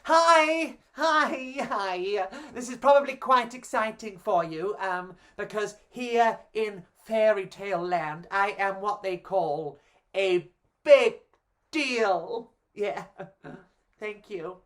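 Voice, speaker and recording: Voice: mid-range voice, takes it slowly, a bit bright. A self-important young fairy-tale starlet, as a children's storyteller plays the part. This storybook girl gushes and boasts, making every sentence a grand speech.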